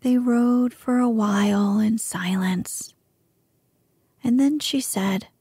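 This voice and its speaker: Woman whispering a slow bedtime story. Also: fairly high pitch, breathy edge, dry recording, clean studio sound. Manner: soft whisper, unhurried. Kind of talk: whispered ASMR story reading.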